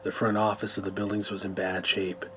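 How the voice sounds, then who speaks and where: A man reading scripted first-person narration for a horror radio play in a measured storytelling manner. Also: lowish voice, completely flat delivery, background noise. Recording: music bed under narration.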